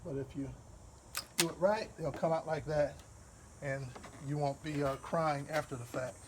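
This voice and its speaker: A man from Wisconsin with a Midwestern accent, explaining a car repair step by step in a relaxed, rambling way while he works. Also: on the high side, background noise. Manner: relaxed, rambling, with fillers and pauses while working. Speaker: DIY car repair host. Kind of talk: hands-on repair walkthrough.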